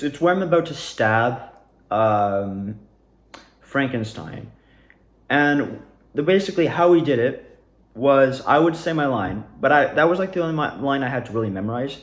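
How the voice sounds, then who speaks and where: A young man talking casually and loosely, recapping his experiences in an animated, rambling storytelling manner. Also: mid-range voice. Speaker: amateur student actor reviewing own performances. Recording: roomy, echoey indoor sound.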